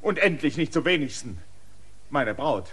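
An actor playing a proud, defiant land surveyor in a radio play, indignant and prone to angry outbursts. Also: male voice, fairly high pitch, expressive, moderate pace, breathy edge. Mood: pleading. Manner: indignant, bursts into angry shouting. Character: proud defiant male land surveyor demanding his rights.

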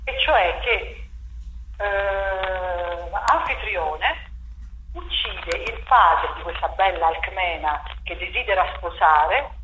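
A woman's voice: mid-range voice, a bit bright, dull audio. Reflective woman in an echoey room. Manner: reflective, many fillers and restarts. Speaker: playwright and theatre director. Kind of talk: interview, explaining her stage work. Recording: echoey room with faint noise.

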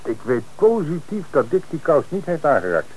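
An actor playing an authoritative police commissioner in an old radio play. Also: male voice, on the high side, sing-song, moderate pace, a little mellow, slight background noise, low-quality recording. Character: middle-aged male police commissioner in charge.

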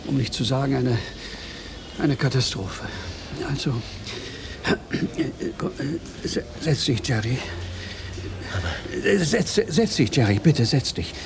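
A stammering, panicky comedian. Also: male voice, mid-range voice, expressive, slow pace, creaky. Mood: nervous. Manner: stammering, anxious, pleading. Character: neurotic stammering comedian trapped in a bodybuilder's body. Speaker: actor in a radio play. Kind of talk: 1980s German radio play acting. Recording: faint tape hiss.

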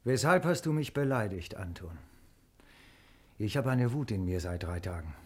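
A man's voice: fairly low pitch, lively intonation, moderate pace, husky. An actor in a 1950s radio drama playing a hot-tempered, aggrieved man with a blunt, emphatic way of talking. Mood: angry, reproachful.

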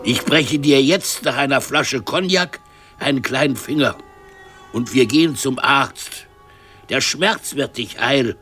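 A man's voice: mid-range voice, a little slow, sharp tone, soft background music. A man playing a scornful, impatient bully in a radio drama, speaking in a sardonic, declamatory way. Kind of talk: 1970s German radio drama acting.

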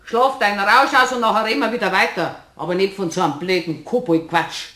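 An actress playing an exasperated, bossy wife with a Bavarian accent, scolding sharply in a German radio play. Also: female voice, medium pitch, a little fast, pushed and bright, echoey room, natural voice. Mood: annoyed.

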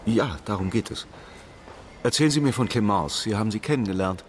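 Actor as low-voiced, pensive police commissioner. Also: male voice, slight background noise, natural voice. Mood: probing, quiet. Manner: deliberate, quietly insistent, terse. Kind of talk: radio crime drama dialogue.

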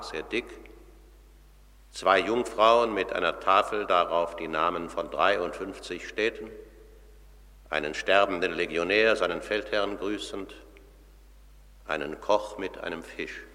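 A solemn radio-play narrator. His voice is deep yet bright and forceful, declaiming each phrase deliberately, with a long hall reverb behind it. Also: exaggerated voice. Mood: declamatory. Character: solemn narrator of the trial of the dead. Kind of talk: dramatic scripted narration, German radio play.

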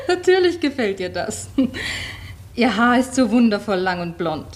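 An actress playing a theatrical, plaintive stage performer with dramatic, expressive delivery, in 1950s German radio drama style. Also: female voice, fairly high pitch, measured pace. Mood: amused.

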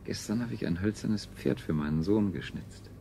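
A man's voice: on the low side, normal speed, vocal fry, dark tone. An actor playing a proud, dutiful technologist and family man in a 1970s radio drama, with sober, stiffly sententious delivery. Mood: sober, earnest. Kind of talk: 1970s German science fiction radio drama acting. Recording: faintly noisy 1970s radio recording.

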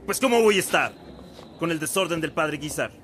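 A man's voice: on the high side, expressive, fairly quick, fairly bright tone. A male actor playing an irritated, curt priest in a radio drama. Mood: annoyed. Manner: curt, grumbling. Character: irritated, brusque, jealous priest. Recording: noisy outdoor crowd-scene sound bed.

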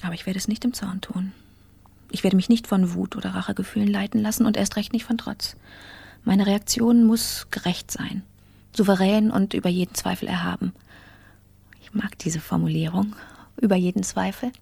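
An actress playing a proud, coldly controlled female boss in a radio drama monologue, measured and self-possessed. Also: mid-range voice, fairly even intonation, vocal fry, mellow, slightly rough, no room sound. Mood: icily controlled.

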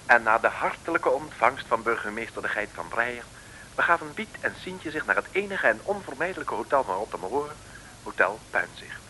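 A man's voice: mid-range voice, expressive, brisk, sharp tone. A man narrating a 1960s radio serial as a scripted, mock-solemn storyteller. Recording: noisy, clicky old radio recording.

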